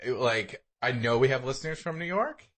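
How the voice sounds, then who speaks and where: A man with a mid-pitched voice that swings widely up and down. He chats casually as a podcast co-host, joking and exaggerating his reactions with mock quotes. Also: expressive, brisk, a bit bright. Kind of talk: casual comedic chat.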